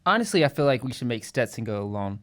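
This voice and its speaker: A woman's voice: rumbling low, very animated, quick pace. A woman acting a jittery, complaining middle-school kid in an amateur radio drama. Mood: grudging.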